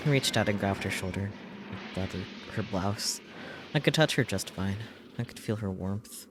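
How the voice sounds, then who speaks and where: A man's voice: on the low side, moderate pace, background noise. A man reading a visual novel's script aloud as a streamer, in a dramatic storytelling style. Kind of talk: dramatic read-aloud narration of a game script.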